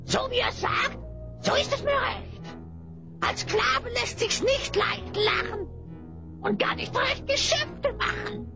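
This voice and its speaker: An actor playing a sly, servile hyena spy in a fantasy audio drama, speaking in rhymes with a creaky, cackling voice. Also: very high voice, slow pace, music in the background, full character voice. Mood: sly, flattering.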